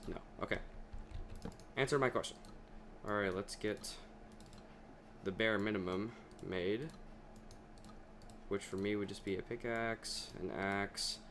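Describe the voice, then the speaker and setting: A young man chatting casually over his gameplay, his voice deeper than usual and talking to himself as he plays. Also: expressive, a little slow, long pauses, a bit bright, a little hiss or hum. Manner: chatty, talks to himself while playing. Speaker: gaming video creator.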